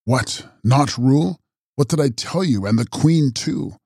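A man voicing a mysterious, ageless male count who makes grave, measured prophecies in an audiobook. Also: medium pitch, expressive, gravelly, a little mellow, no room sound, studio quality. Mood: lamenting. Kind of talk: audiobook character reading.

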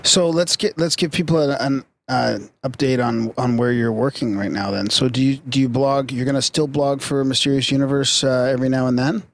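A man co-hosting a podcast, relaxed and asking the guest questions. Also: medium pitch, a little fast, few pauses, dry recording. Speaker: podcast co-host. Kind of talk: casual podcast chat.

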